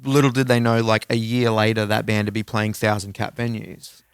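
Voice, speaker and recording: A man's voice: lowish voice, flat delivery, fairly quick, smooth, no room sound, clean studio sound. A middle-aged Australian man co-hosting a podcast, telling rambling, slangy anecdotes in casual chat with some swearing. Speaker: podcast co-host.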